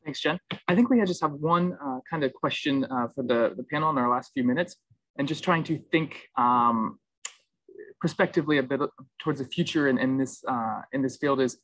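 A man's voice: mid-range voice, normal speed, fairly bright tone, no echo, low-quality recording. A young man moderating an online panel over video call, asking loose questions with fillers.

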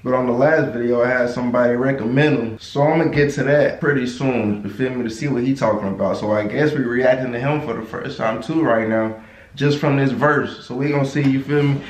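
Young male reaction host: slangy, animated, in an echoey bedroom, pitch mid-range, faint background clicks. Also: a little hiss or hum. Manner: animated, slangy, jumps into high-pitched exclamations. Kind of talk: casual reaction commentary. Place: small bedroom in a gaming chair. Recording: echoey room.

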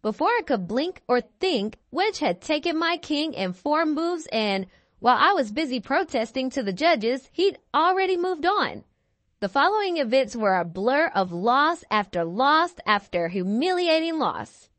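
Very lively high-voiced woman reading a storybook. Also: measured pace, no echo. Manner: theatrical, clearly articulated, emphatic. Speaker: children's book reader. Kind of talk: expressive scripted storytelling.

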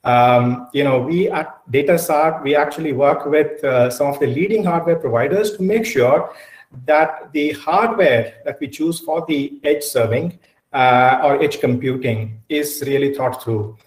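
A man's voice: fairly high pitch, unhurried. Echoey middle-aged man presenting. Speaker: keynote speaker, technology executive. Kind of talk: online conference presentation. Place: indoor room, on a video call. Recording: roomy, echoey video-call audio.

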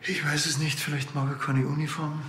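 A man's voice: on the high side, flat delivery, fairly quick, very noisy, lightly acted. An actor playing a grumpy, dry-witted policeman with a Bavarian accent and a curt way of talking, in a TV crime comedy. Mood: deadpan.

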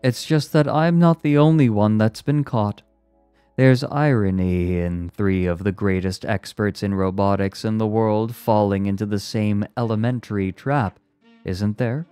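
A man's voice: on the low side, fairly even intonation, unhurried, warm tone, clean tone, dry recording, soft background music, natural voice. A man voicing a tense, bitter woman psychologist in an audiobook, with brittle intensity. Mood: brittle, ironic. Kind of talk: audiobook character voice.

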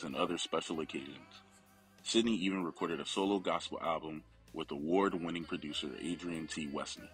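A man with an extremely deep voice reads a memorial biography as a family member. His words come from a prepared script, with faint music playing behind him. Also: a bit bright, poor audio.

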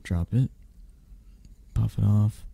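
Casting: male actor as a domineering mafia boss for ASMR roleplay, dark husky voice, flirty and patronizing. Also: fairly low pitch, slow, dry recording, heavily acted. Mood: impatient, bargaining. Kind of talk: intimate ASMR roleplay acting.

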